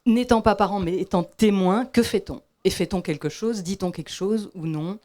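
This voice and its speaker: A woman from Belgium, asking a reflective question from the audience with many pauses and restarts. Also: medium pitch, warm tone. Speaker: audience member. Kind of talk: question from the floor.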